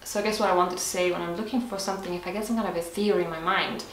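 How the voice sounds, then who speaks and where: This is a woman with a fairly low voice, answering her viewers' questions in a casual vlog. She thinks aloud and explains things step by step. Her voice comes from a little way off the mic in a reverberant room with faint background hiss. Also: steady tone, racing, far from the mic. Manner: thoughtful, explains step by step with small restarts. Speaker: health vlogger. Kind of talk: casual Q&A talk to camera.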